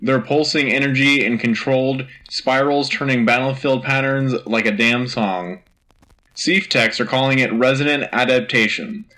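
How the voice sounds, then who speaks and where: A man's voice: mid-range voice, normal speed, sharp tone, close to an everyday voice, poor audio. A man playing an earnest, rousing war news anchor on a sci-fi radio show, with dramatic, punchy delivery. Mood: grave, urgent.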